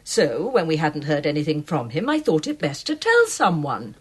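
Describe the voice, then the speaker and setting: A guarded gallery manager. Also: female voice, low voice, huge pitch swings, normal speed, husky, full character voice. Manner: clipped, formal and faintly defensive. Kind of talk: radio drama acting.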